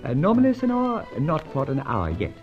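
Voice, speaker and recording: High, fawning Latin waiter. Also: male voice, wildly swooping pitch, normal speed. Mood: polite, fussy. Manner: fawning, formal, foreign-sounding phrasing. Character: obsequious Latin head waiter, fussy and eager. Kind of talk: old-time radio serial acting. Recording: dull vintage radio recording, music behind.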